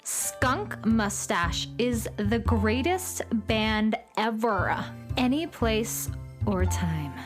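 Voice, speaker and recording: Bratty space villainess, scornful, slow drawn-out emphatic delivery, children's radio play, music behind. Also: female voice, on the high side, lively intonation. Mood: scornful, boastful. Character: petulant, haughty female space troublemaker, obsessive band fan. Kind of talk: children's audio drama acting.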